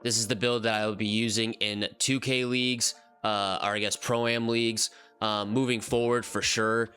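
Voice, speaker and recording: A man's voice: lowish voice, level tone, moderate pace, pushed and bright, clear, resonant tone. A man hosting a gaming channel, casually walking through a build. He sounds nasal from a cold and rambles with frequent ums and restarts. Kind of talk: casual game commentary walkthrough.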